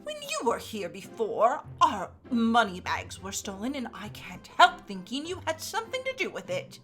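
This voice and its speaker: A woman's voice: a little high, wildly swooping pitch, moderate pace, fairly bright tone, soft background music. A woman voicing a fretful, scolding giant's wife in a read-aloud fairy tale, fussing in a raised voice. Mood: flustered.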